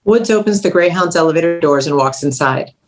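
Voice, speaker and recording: A woman's voice: fairly low pitch, animated, brisk, soft background music. Woman reading stage directions for a script table read, scripted narrator style.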